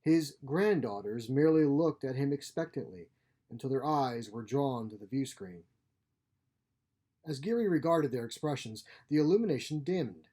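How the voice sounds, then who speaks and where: A man's voice: mid-range voice, mellow, slightly rough. A man narrating a scripted short story as its author and reader. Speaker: author reading his own short story.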